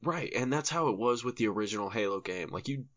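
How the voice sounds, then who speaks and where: A man's voice: medium pitch, expressive, fast talker, a bit bright, highs cut off. A man co-hosting a podcast, chatting casually and enthusiastically with slang and swearing. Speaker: podcast co-host.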